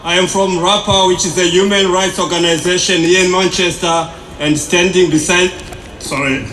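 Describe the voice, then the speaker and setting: A man with a Zimbabwean accent and a very high voice delivers a formal activist speech. His phrasing is deliberate. The outdoor sound is echoey and faintly noisy. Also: fairly even intonation, a bit bright. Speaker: human rights activist speaker. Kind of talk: prepared rally speech. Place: outdoor city centre rally.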